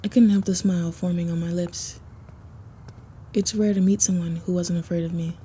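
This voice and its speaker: A woman voicing a confident, flirty vampire loner in a first-person roleplay audio, measured and self-assured. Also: fairly low pitch, fairly even intonation, mellow, a little hiss or hum, lightly acted. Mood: intrigued.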